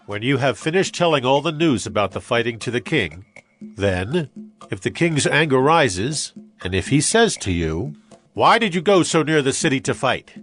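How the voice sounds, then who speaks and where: An actor playing a bold, resolute army commander in a dramatized audio Bible, with emphatic, declamatory delivery over music. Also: male voice, medium pitch, very animated, moderate pace, fairly bright tone. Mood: stern, instructing.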